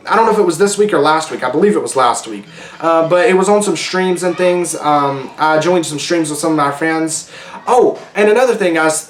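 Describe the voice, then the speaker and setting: A man hosting his own casual solo podcast, rambling and chatty with lots of ums and restarts, his voice going hoarse. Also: on the high side, reverberant. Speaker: podcast host.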